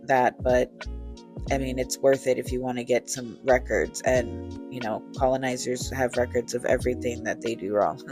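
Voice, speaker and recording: A woman in her thirties chatting casually and relaxed, with easy fillers and light laughter, telling a storytime while beading. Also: a bass voice, moderate pace. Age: 30s. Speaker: crafter and vlogger. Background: faint chill music.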